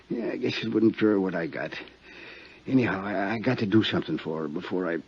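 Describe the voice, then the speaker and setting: An actor playing a dying, weary con man in 1950s radio style, with a rough, weak voice and halting tough-guy slang. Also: sits low, slight background noise. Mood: earnest, confiding. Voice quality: husky, rough. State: weak, coughing. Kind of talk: 1950s radio drama acting. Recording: dull old radio recording.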